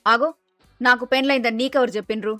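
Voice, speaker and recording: A woman's voice: a little high, fairly even intonation, quick pace, sharp tone, dry recording, soft background music. A woman with a Telangana accent playing a blunt, scheming young daughter-in-law in a family story. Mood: sharp, scheming.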